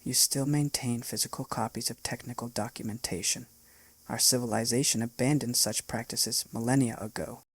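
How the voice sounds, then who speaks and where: Crystalline alien ambassador, read by a man, husky, level delivery, dry recording. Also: medium pitch, fairly even intonation, moderate pace, no room sound. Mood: earnest. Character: tall melodic crystalline alien ambassador, worried.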